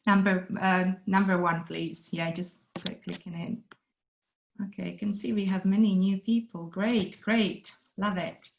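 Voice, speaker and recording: Casting: woman from Latvia, mid pitch, earnest presenter, roomy echo. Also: fairly even intonation, unhurried, vocal fry. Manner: non-native phrasing, earnest. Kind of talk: webinar presentation. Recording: echoey room.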